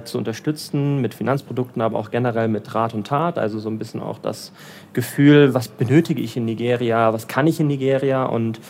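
A German man, probably in his thirties, answering interview questions in a relaxed, hesitant way with many fillers and restarts. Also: mid-range voice, gravelly. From Germany, raised in Bavaria. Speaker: interviewee, development finance professional in Lagos.

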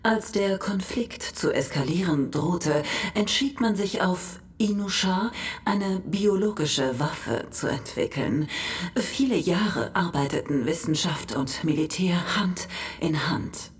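Cosmic compendium narrator, formal and solemn, measured slow delivery, lowish pitch, scripted radio play. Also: female voice, expressive.

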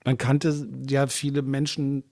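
A man chatting loosely about his band's touring days, his stories wandering and restarting as he goes. His voice sits at an ordinary pitch and is recorded close and dry, with no room sound. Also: level tone, unhurried, dark tone, dry recording. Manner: rambling anecdotes with restarts and chuckles. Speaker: musician guest. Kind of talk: casual conversation.

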